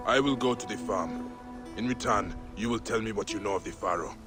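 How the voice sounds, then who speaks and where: An actor playing a stern, grim male warrior who speaks in measured tones in a video game. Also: lowish voice, music in the background, lightly acted. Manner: stern and measured, grows urgent. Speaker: protagonist of a game. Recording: game audio under loud score.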